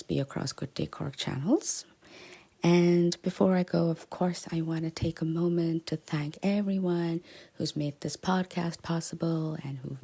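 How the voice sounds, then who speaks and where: A woman hosting a podcast, giving closing remarks and thanks to listeners in a soft, half-whispered way. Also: sits low, creaky, slightly rough. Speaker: podcast host.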